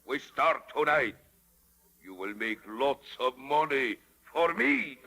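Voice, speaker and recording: An actor playing a greedy, bullying puppeteer showman in 1930s radio style, with a booming, gravelly voice, an Italian accent and theatrical broken English. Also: animated, slow pace, sharp tone, exaggerated voice. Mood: mocking, menacing. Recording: vintage 1930s radio, reverberant hall.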